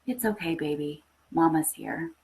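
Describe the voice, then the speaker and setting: A woman's voice: low-pitched, normal speed, darkish tone, poor audio. A woman voicing a weary young mother, polite but distracted, in a short story reading. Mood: soothing.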